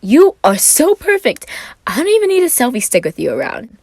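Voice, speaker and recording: A woman's voice: high-pitched, huge pitch swings, brisk, darkish tone, slightly rough, no room sound, pitched above the performer's own voice, full character voice. A woman voicing an exuberant, theatrical young man in a fan fiction reading, with a dramatic, effusive delivery. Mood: delighted, gushing.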